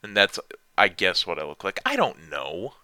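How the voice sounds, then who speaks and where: Young man, deep lively voice, unhurried self-deprecating rambler, dry close mic. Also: no echo. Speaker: vlogger answering viewer questions. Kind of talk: casual rambling chat.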